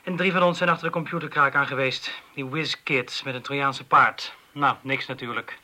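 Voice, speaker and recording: Sharp, wisecracking male police detective. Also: mid-range voice, fairly even intonation, moderate pace. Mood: weary grumbling. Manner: ironic, bantering. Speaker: police detective in a radio play. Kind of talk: Dutch radio drama acting. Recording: radio play with faint background noise.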